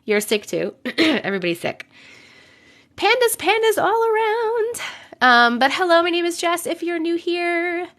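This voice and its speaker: A young adult woman live streaming, chatting with viewers and reading stories, her voice a little rough from a cold. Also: extremely high pitch, sing-song, measured pace, a bit bright. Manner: chatty, greets viewers by name between sentences. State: voice a bit rough from getting over a cold. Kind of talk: casual live stream chat and story reading.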